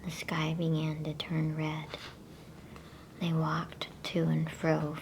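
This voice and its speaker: Female ASMR reader, hushed creaky whisper, flat and very unhurried, for bedtime storytelling. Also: sits low, a little hiss or hum, low-quality recording. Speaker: ASMR storyteller reading a children's novel aloud.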